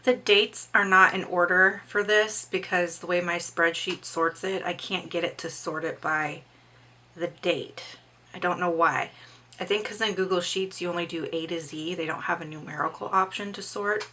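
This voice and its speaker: A middle-aged woman with a slightly hoarse voice, chatting casually about upcoming books and reading their blurbs aloud. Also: lowish voice, steady tone, moderate pace, pushed and bright. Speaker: book vlogger.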